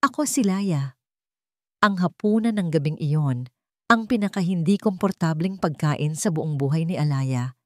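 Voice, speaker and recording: A woman narrates a scripted romance drama. Her voice sits a little low for a woman, and the recording is dry and close. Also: normal speed, no room sound. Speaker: story narrator.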